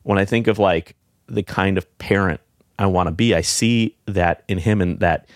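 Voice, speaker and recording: A middle-aged man with a deep voice, speaking in a reflective, halting way with many fillers and restarts, as a guest in a casual heartfelt conversation. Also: fairly even intonation, no room sound, clean studio sound. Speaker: podcast guest.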